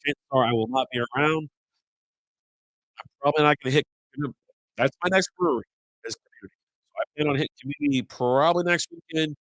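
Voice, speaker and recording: A middle-aged man hosting a beer podcast live stream, congested from a cold with a slightly raspy voice, rambling along in loose casual chat with some mild swearing. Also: fairly high pitch, quick pace, pauses often, fairly bright tone, no echo, rough recording.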